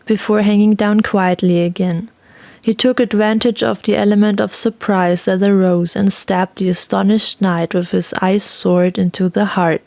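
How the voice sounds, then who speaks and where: A woman's voice: mid-range voice, creaky, darkish tone, dry recording. A woman narrating a fantasy audio story in a dramatic, scripted storytelling style.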